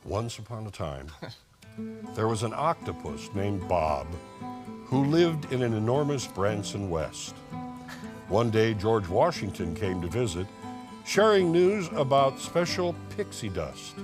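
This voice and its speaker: Animated man over prominent guitar music. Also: lowish voice, a little slow. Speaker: TV show co-host. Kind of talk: casual banter and storybook read-aloud.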